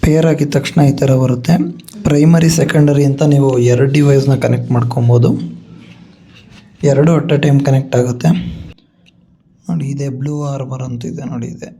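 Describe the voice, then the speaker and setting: A man's voice: medium pitch, fairly even intonation, moderate pace, pauses often, dark tone, a little hiss or hum. A man with a Kannada accent giving a casual step-by-step product walkthrough as a vlogger, explaining and mixing in English tech words. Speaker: vlogger demonstrating a gadget.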